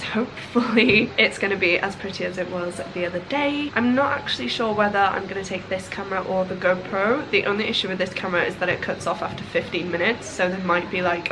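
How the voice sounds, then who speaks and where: A woman's voice: mid-range voice, background noise. A woman with a cold, sounding nasal and congested, chatting casually to camera about her holiday. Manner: chatty, rambling with fillers and laughs. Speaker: vlogger. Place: holiday room indoors, then a windy beach outdoors.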